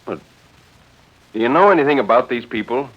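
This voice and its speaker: A man's voice: medium pitch, animated, fairly quick, husky, voice pushed up. A man playing a mild, decent suburban husband with a plain, questioning manner in 1940s radio drama style. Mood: uneasy, questioning. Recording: dull vintage 1940s radio recording.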